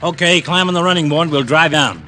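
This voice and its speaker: A man playing a brisk, blunt police detective in an old-time radio drama. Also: a little high, lightly acted. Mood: brisk, surprised. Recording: noisy, humming vintage radio recording.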